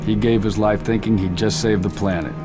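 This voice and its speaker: Game cutscene: actor playing guarded male commander, terse, loud score behind. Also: fairly low pitch, steady tone, a little fast, loud music behind the voice, natural voice. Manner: terse and controlled. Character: steady, guarded male squad leader. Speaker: squad commander.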